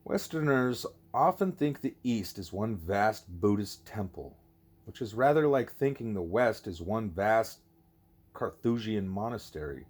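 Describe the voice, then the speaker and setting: Male narrator as patient old-school sage, lowish pitch, deliberate, formal, instructive, audiobook dialogue. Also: lively intonation. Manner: deliberate, explanatory, formal phrasing. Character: wise, patient teacher creature Ishmael, male, measured and didactic.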